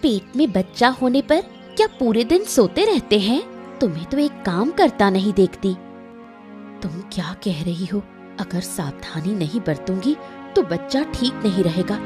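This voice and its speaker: A woman's voice: medium pitch, darkish tone, natural voice. A woman voicing a bickering village sister-in-law, pointed and argumentative, in a cartoon story. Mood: nagging, demanding.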